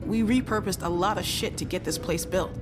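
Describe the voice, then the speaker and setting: An actress playing a blunt, easygoing guard in a video game, speaking matter-of-factly. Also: female voice, lowish voice, brisk, over music, natural voice. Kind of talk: naturalistic video game acting.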